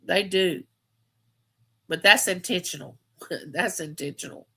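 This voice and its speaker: A woman's voice: on the low side, unhurried, creaky, a bit bright, slightly rough. A woman, hosting a casual live chat and telling stories, repeating phrases for emphasis. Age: 50s. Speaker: livestream host.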